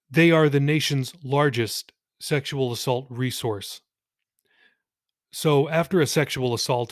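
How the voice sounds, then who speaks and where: A man's voice: medium pitch, no room sound, studio quality. A man who hosts and narrates a podcast, speaking to listeners in an earnest, plain-spoken way. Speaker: podcast host, author and narrator. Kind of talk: narration and direct address to listeners.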